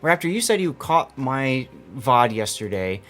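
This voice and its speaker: A man's voice: medium pitch, very animated, unhurried, a bit bright, faint music behind. A man hosting a game tournament on his stream, chatting casually with a co-host and the audience, rambling with fillers.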